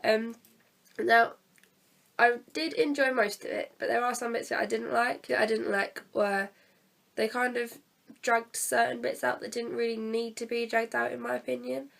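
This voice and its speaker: A teenage girl talks casually to her camera, her voice croaky and gravelly from a cold. She is fairly high-pitched and chatty, hesitating often. She sits some way back from the microphone, so she sounds a little distant. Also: fairly even intonation, normal speed, fairly bright tone. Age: teens. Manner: chatty, hesitant, many fillers. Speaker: vlogger reviewing a theatre play. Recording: distant from the mic.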